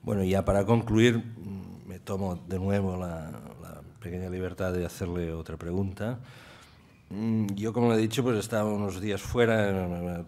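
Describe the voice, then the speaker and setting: A man with a very low voice moderates a formal event. His phrasing is courteous and roundabout, often halting and circling back before he reaches the point. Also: a little mellow. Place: hotel banquet hall, panel table.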